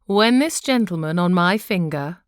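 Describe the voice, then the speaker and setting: Kindly storybook king, commanding and unhurried, dry close recording, voiced by a woman. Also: fairly low pitch, lively intonation, clear, resonant tone, dry recording.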